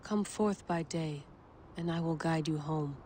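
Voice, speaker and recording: Headstrong researcher, curt. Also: female voice, low-pitched, a little slow, warm tone, a little hiss or hum. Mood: entranced. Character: headstrong, obsessive young woman researcher chasing her dream. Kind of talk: naturalistic video game cutscene acting.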